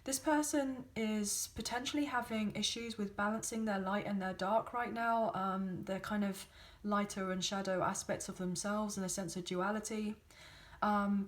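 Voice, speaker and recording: A woman with a slightly croaky, hoarse voice, giving a chatty tarot reading into a phone, with frequent fillers. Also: mid-range voice. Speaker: tarot card reader.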